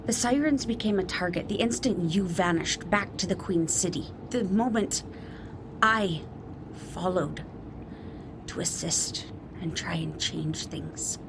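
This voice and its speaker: A woman playing a slow, stern Siren leader. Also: on the low side, background noise. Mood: reproachful. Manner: measured, grave, reproachful. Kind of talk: tabletop roleplay in character.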